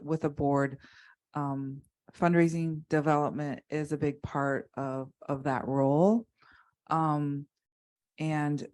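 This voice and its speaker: A woman with a low-pitched voice gives an unscripted panel answer. She speaks haltingly, often repeating a word before moving on, as a board member explaining things would. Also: darkish tone.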